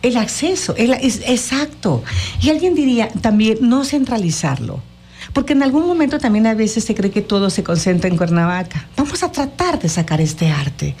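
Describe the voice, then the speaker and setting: A woman's voice: medium pitch, darkish tone, slight background noise. A woman with a Mexican accent hosting a casual interview in a chatty, encouraging way. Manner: chatty, encouraging, frequently affirming. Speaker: interviewer, host.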